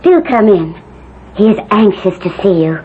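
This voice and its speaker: A woman's voice: mid-range voice, expressive, moderate pace, phone-quality sound, lightly acted. A woman playing a devoted, anxious young noblewoman in a 1970s radio melodrama, speaking tenderly with formal diction. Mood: soothing, concerned. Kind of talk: 1970s radio drama acting, romantic period melodrama. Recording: thin band-limited old radio, faint noise.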